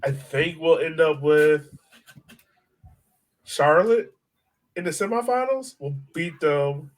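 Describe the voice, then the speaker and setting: A man's voice: on the high side, takes it slowly. Casual man chatting about sports. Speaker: soccer podcast co-host.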